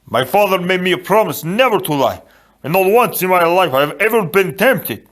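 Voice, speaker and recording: A man's voice: sits high, animated, normal speed, a bit bright, voice pushed up. A man voicing an earnest, stubborn giant with a childlike streak, in a storybook reading. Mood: earnest, indignant. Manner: panicked screaming when afraid.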